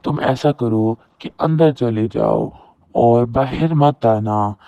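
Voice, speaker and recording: A man's voice: medium pitch, takes it slowly, vocal fry, mellow. A man playing a proud, status-conscious young elder son in a cartoon moral story. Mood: stern. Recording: roomy echo, very thin phone-like audio.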